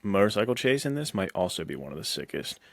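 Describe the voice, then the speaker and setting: An American man with a deep voice, recorded close and dry. He chats like a podcast co-host, earnest and conversational, dropping casual slang as he discusses films. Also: sing-song, fast, vocal fry, no room sound. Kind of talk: casual chat about action films.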